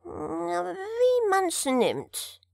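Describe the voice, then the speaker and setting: A woman's voice: very high-pitched, wildly swooping pitch, extremely slow, creaky, darkish tone, no room sound, clean studio sound, voice pushed up, heavily acted. A woman voicing a proud, earnest male tomcat who speaks formally and with dignity in a storybook. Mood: courteous, hopeful.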